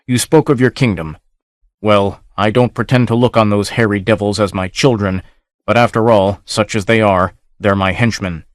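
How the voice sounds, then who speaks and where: A man's voice: on the low side, steady tone, normal speed, no echo, clean studio sound, close to an everyday voice. A man voicing a blunt, restless barbarian chieftain in a storybook reading. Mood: determined.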